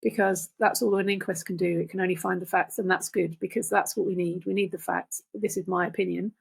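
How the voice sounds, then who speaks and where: An older woman, a podcast guest, talking reflectively and earnestly in deliberate phrases. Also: on the low side, fairly even intonation, creaky, slightly rough. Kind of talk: reflective conversational talk.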